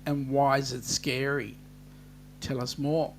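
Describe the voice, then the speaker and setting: An Australian man hosting a casual live-stream chat, reading viewer comments aloud in an unhurried way with plenty of ums. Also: mid-range voice, flat delivery, a little hiss or hum.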